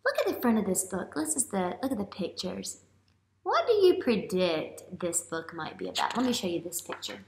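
Animated woman teacher reading to children. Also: medium pitch, slight background noise. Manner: animated, questioning, drawn-out emphasis for children. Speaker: elementary school teacher. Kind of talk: read-aloud picture book lesson for young children. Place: small classroom-like room, indoors. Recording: roomy indoor echo.

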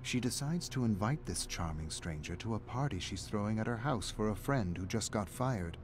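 A man's voice: lowish voice, expressive, moderate pace, over music, close to an everyday voice. A man reading a scripted, dramatic story narration as the narrator. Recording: background noise under game narration.

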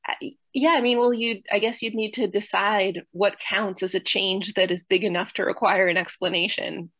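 Young woman scientist on a video call, medium pitch, no room echo, thinking out loud. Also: fairly even intonation, normal speed. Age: young adult. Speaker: researcher asking a question. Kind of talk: thoughtful academic discussion. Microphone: video call computer mic.

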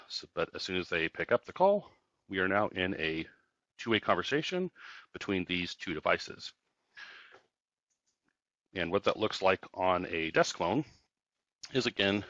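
Low-voiced man giving a product tutorial. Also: fairly bright tone, no room sound, dull audio. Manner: explanatory, step-by-step. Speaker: company product trainer. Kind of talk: casual product tutorial narration.

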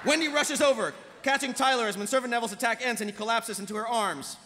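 A woman's voice: on the high side, fast talker, background noise. A woman narrating stage directions from a script at a live table read. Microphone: table microphone on a panel. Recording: echoey convention hall, stage microphone.

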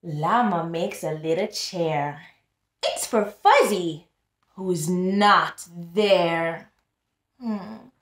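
Very slow, expressive woman reading to children. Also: medium pitch. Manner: expressive, deliberate, prompting listeners with questions. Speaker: tutor reading aloud to young children. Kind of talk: children's picture book read-aloud with teaching asides. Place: small plain-walled room indoors. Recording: echoey small room.

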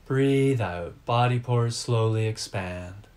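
Guy leading a guided meditation, really slow, calm short phrases, mid pitched voice. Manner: measured short phrases with calm, deliberate delivery. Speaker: meditation teacher.

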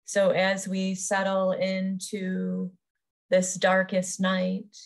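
A woman leading a guided meditation as a yoga nidra teacher, soothing and unhurried, pausing between short phrases. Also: mid-range voice, completely flat delivery, gravelly.

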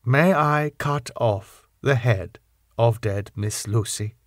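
A man voicing an elderly foreign professor in an audiobook, solemn and compassionate, with deliberate, formal phrasing. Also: medium pitch, dry recording, studio quality, close to an everyday voice. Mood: grave, careful.

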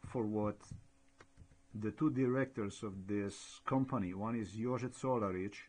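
A man from Slovenia with a Slovenian accent, speaking halting, repetitive English as a non-native speaker while commenting over a shared screen. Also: fairly low pitch, a little mellow. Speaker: blogger.

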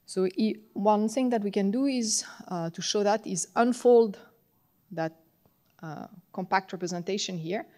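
French woman w french accent explaining technical stuff at a conference podium, medium pitch, kinda halting. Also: mellow. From France. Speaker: conference tutorial presenter. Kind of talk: technical lecture to an audience. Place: large conference hall, on stage at a podium.

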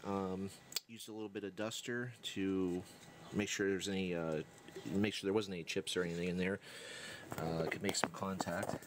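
A man narrating a casual hands-on tutorial, thinking aloud in an unhurried way as he works. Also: low-pitched, level tone. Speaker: DIY electronics demonstrator. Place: indoor workbench. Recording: clear background noise and room ambience.

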